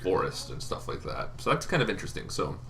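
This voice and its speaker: A young man with a lowish voice and a crisp, forward tone talks through tabletop game lore in a loose, rambling way, full of ums and false starts. A faint hiss sits under the recording. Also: fast. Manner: relaxed, rambling, many ums and restarts. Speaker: tabletop game video host. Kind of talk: casual explainer talk.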